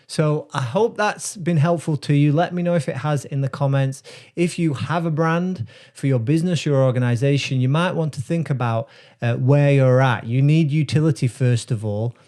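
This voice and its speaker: Mellow-voiced man explaining brand ideas. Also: medium pitch. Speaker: brand strategist. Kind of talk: casual explanatory talk to camera.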